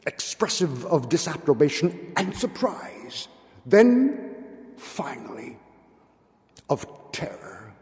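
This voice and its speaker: A man giving a dramatic, theatrical reading of gothic horror, with drawn-out words, pauses and hushed drops. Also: on the high side, big pitch swings. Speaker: literary reader. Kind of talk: dramatic literary recitation of a gothic horror tale. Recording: long hall-like reverb.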